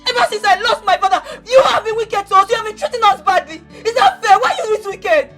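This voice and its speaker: An actress playing a young woman who cries, pleads and shouts at her uncle for money. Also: very high-pitched, fairly even intonation, normal speed, soft background music. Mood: accusing. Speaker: actor.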